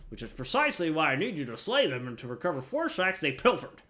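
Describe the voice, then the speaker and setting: A man's voice: fairly high pitch, wildly swooping pitch, fast talker, bright, forceful tone, exaggerated voice. A man voicing a blustering, impatient miller obsessed with the craft, in grandiose fantasy game dialogue. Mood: exasperated. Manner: grandiose, declamatory.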